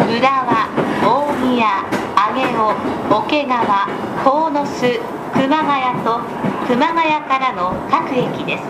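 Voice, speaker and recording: Woman, train announcer, highish pitch, formal scripted delivery, precise diction, loud rail rumble. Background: rumbling moving train carriage.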